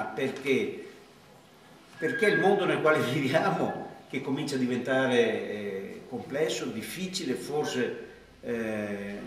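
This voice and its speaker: A man in his fifties giving a reflective talk at a project presentation, pausing often with hesitant fillers. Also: mid-range voice. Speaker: supervisor speaking at a project presentation. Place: large classroom with rows of chairs. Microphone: far camera. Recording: echoey room from far off, background noise.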